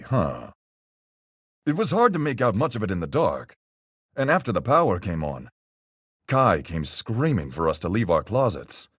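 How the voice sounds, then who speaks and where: Man acting a very deep, folksy sea captain. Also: slightly rough, dry recording, clean studio sound, full character voice. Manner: salty seafaring slang, measured deductive delivery. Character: gruff, level-headed male sea captain, hearty and folksy. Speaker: voice actor in a fan-made mystery game. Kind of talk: video game character voice lines.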